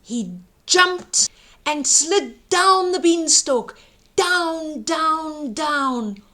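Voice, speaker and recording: A woman storyteller with a fairly high, very animated voice. She reads a children's bedtime tale very slowly, stretching key words and building suspense. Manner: hushed suspense and emphatic, drawn-out words. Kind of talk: dramatic bedtime story read-aloud.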